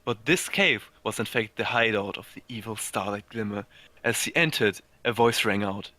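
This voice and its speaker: A man narrating amateur fan fiction, halting and stumbling over words as he reads aloud. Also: fairly low pitch, pushed and bright, dry recording.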